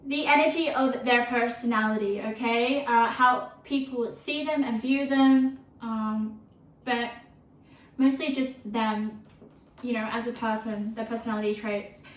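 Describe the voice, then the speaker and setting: A woman giving a casual tarot reading, chatty and rambling with lots of fillers, her voice turning a little croaky. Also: a little high, a bit bright, roomy sound, distant mic, rough recording. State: voice getting croaky from thirst. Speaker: tarot reader.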